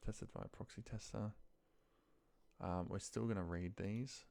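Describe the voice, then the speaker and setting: A man thinking aloud in a muttered, pausing way as he talks through spreadsheet work on his computer. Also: fairly low pitch, fairly even intonation, gravelly, a little mellow. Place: at a computer desk, indoors.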